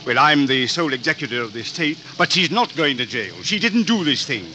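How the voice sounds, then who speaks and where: A man's voice: on the high side, very animated, brisk, bright, forceful tone, heavily acted. An actor playing a scheming, defensive stepfather turned killer in a vintage radio crime drama, with formal, indignant delivery. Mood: feigned innocence. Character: scheming, defensive stepfather, a murderous male estate executor. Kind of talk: 1940s-50s radio drama acting. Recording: noisy old radio drama recording.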